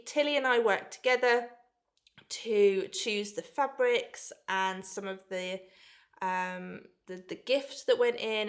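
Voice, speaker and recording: A woman in her thirties, stuffed up with a cold, chatting enthusiastically as she unboxes a sewing subscription box. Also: medium pitch. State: blocked nose from a cold. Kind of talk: casual unboxing vlog.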